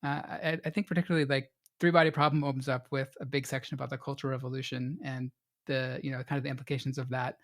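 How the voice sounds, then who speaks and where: A man's voice: mid-range voice, level tone, fast talker. A man hosting a podcast, asking long, loosely phrased questions full of fillers. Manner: rambling, filler-heavy, laughs often. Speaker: podcast host. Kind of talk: casual interview questions.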